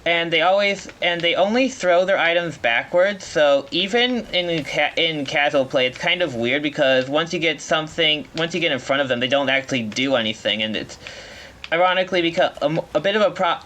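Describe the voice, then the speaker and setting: A man giving live speedrun commentary, explaining as he plays in a halting way, often rephrasing mid-sentence. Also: mid-range voice, fairly bright tone. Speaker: speedrunner playing at a marathon.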